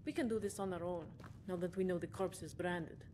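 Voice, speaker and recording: An actress playing a determined, no-nonsense investigator in a fantasy video game, brisk and businesslike. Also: female voice, lowish voice, dark tone, lightly acted. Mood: impatient, determined. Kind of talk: video game character dialogue. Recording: noisy game audio, long hall reverb.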